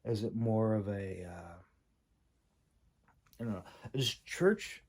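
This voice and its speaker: A man giving a casual, unscripted critique as a host, halting and thinking aloud with long pauses. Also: fairly low pitch, darkish tone, slightly rough. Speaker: host critiquing a writer's manuscript.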